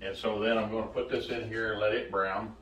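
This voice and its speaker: A man in his sixties giving a casual, halting cooking demonstration as host, recorded from a distance in a home kitchen. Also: on the low side, steady tone, fairly bright tone. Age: 60s. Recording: echoey kitchen, distant mic, faint noise.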